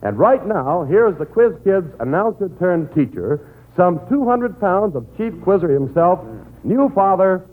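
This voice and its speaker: Showy 1940s radio announcer man, very animated. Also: high-pitched, a little slow, narrow, tinny audio, slight background noise. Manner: showy, playful introductions.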